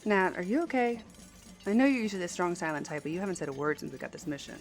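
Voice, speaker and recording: A woman playing a seasoned, level-headed marine sergeant in an audio drama, matter-of-fact and steady. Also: on the low side, racing, faint music behind, natural voice. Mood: concerned.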